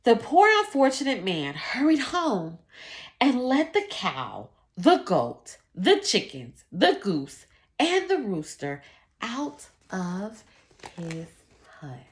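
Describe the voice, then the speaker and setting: Woman doing storytime for kids, takes it slow, very up and down, explains stuff. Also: medium pitch, wildly swooping pitch, a little hiss or hum. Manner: explanatory, deliberate. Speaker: children's read-aloud teacher. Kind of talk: expressive storybook narration with asides to children.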